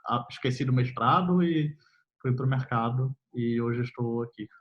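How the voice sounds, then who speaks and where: A young man, a software developer talking casually in an interview, relaxed and full of fillers, laughing easily. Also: mid-range voice, a little slow. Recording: slightly muffled audio.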